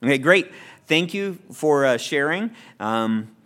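A man's voice: a little high, lively intonation, takes it slowly, fairly bright tone. Man leading a Bible study class. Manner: friendly, repeats words and restarts often. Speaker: church class leader.

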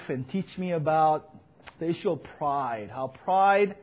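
Young male pastor, loud earnest preacher, husky tone, a touch high, muffled lo-fi recording. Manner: earnest, emphatic, rising to fervent peaks. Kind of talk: sermon with personal report.

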